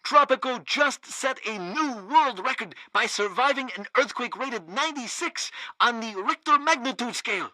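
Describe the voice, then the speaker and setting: A male state radio host from a comic video game. He is pompous and obsequious, with a lively, swooping delivery and a bright, forceful voice. The sound is dry and close, like a studio announcer. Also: extremely high pitch, normal speed, few pauses, dry recording, heavily acted, poor audio. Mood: proud. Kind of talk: satirical radio news announcing.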